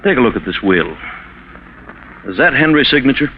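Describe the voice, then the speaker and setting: Tinny radio probing prosecutor. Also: male voice, mid-range voice, sing-song, moderate pace. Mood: calm, insistent. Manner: methodical, formal questioning. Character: probing, composed male district attorney's investigator. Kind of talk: 1940s radio acting. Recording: tinny, noisy 1940s radio broadcast.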